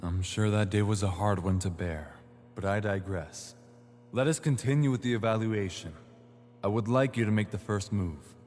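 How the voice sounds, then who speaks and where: Picture a composed, courteous evaluator in his twenties, played by a man for an audio drama. He phrases everything formally and with measured care. His voice rings with long hall reverb over a faint hum. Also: lowish voice, a little mellow, natural voice. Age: 20s. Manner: formal, measured, polite wording.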